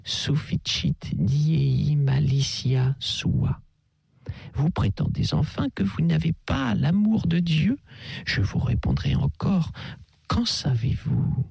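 A man reading an audiobook, voicing a kindly older priest who coaxes gently in a soft, half-whispered way. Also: a little high, animated, takes it slowly, gravelly, breathy edge, no room sound, clean studio sound, pitched above the performer's own voice, heavily acted. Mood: gently persuasive. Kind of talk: audiobook character voice.

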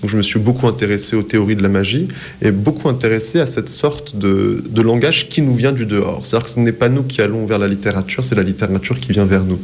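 A man's voice: lowish voice, fast, a little mellow. A man in his forties from Paris, an author answering interview questions thoughtfully, often hesitating and restarting his sentences. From France, Paris. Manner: hesitant, searching for words with many restarts.

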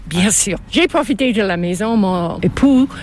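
An older woman from Canada, a native English speaker with an English Canadian accent, answering interview questions in halting second-language speech. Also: mid-range voice, expressive, darkish tone, slight background noise. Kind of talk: casual conversational interview.

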